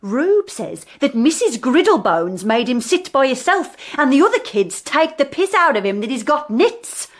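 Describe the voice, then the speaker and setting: Put-upon working-class mum, high swooping voice, blunt and rambling, no shame at all, radio comedy. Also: female voice, very animated, normal speed, hardly any pauses. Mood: indignant. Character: brash, put-upon working-class mother of many children. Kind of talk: radio comedy drama acting.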